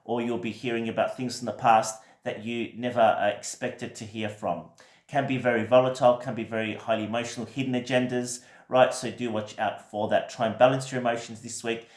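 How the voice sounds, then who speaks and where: Croaky man giving a gentle tarot reading. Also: medium pitch, flat delivery, a little fast, reverberant. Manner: gentle, reassuring, frequent fillers and "right?". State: croaky from a cold. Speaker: tarot reader. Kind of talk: weekly tarot reading to camera.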